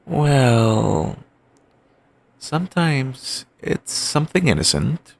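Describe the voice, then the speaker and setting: A man playing an obsessive, doting yandere boyfriend in an audio roleplay, talking softly and rambling on with endearments. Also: mid-range voice, very animated, creaky, slight background noise, full character voice. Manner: soft, murmuring, slow and rambling endearments.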